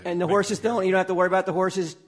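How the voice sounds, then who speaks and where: A man's voice: high voice, flat delivery, very fast. A man hosting a comic panel show, introducing contestants and putting questions to them.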